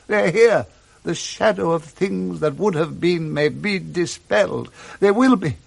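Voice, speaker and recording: A man's voice: high voice, a little slow, gravelly, full character voice. A man playing an old British miser full of intense, repentant feeling, in a theatrical radio drama. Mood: joyful, tearful. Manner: impassioned, theatrical.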